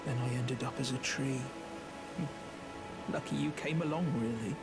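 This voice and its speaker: A man's voice: medium pitch, moderate pace, gravelly, lightly acted. A man voicing a timid, pitiful small outcast creature in a video game, meek, grateful and hesitant. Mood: grateful, sad. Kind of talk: video game character acting. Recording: music behind the voice.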